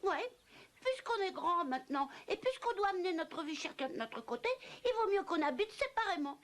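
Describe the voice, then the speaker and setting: A woman voicing an excitable, childlike young piglet in a French children's cartoon, speaking in exclamations. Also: very high voice, moderate pace, exaggerated voice. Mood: eager, decided.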